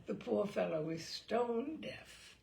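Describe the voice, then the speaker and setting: A woman's voice: mid-range voice, expressive, takes it slowly, gravelly, dark tone, heavily acted. A woman voicing a breezy, imperious older earl with a pompous, jovial and unflappable manner. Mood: suave.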